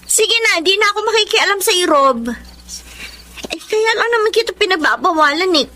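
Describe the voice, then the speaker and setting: High-voiced, earnest young Filipina girlfriend. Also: animated, normal speed, pushed and bright, exaggerated voice. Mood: worried, scolding. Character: young woman Angel, earnest, concerned girlfriend. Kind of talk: Filipino radio drama acting and narration.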